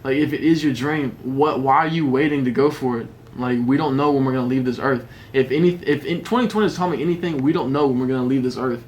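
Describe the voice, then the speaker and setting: A man's voice: medium pitch, fast, few pauses, a little mellow, slight background noise. A young man from the Carolinas, a rapper guest talking candidly and with self-mockery on a radio show. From South Carolina, raised in North Carolina. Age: young adult. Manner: candid, self-deprecating, laughs easily. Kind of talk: casual radio interview. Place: radio studio.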